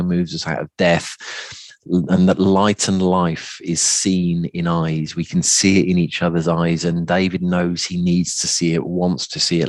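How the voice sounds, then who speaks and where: A man with a notably deep voice delivers a reflective sermon. He builds his thoughts through repeated phrases, and the sound is dry with hardly any room in it. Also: no room sound. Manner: reflective, phrases built by repetition. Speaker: preacher. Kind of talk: sermon on a psalm.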